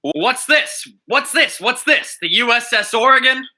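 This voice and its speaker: A young man acting a bombastic, deluded gung-ho commander in a high school radio play, giving clipped, pompous military orders. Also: animated, measured pace, bright, forceful tone, full character voice. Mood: commanding, pompous.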